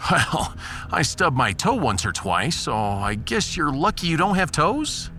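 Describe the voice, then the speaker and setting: A man's voice: a little high, sing-song, measured pace, music in the background. A man voicing a brash starship captain with a punchy, colloquial, commanding manner in a sci-fi audiodrama. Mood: wry stalling. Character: brash, fast-deciding starship captain rallying the crew.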